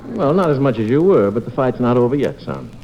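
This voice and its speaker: Casting: actor as easygoing small-town doctor dad, mid-range voice with lively inflection, gentle manner, muffled old radio recording. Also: male voice, mid-range voice, a little fast, faint music behind, natural voice. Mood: gentle concern. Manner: gentle, fatherly, conversational. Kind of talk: 1950s radio comedy-drama acting.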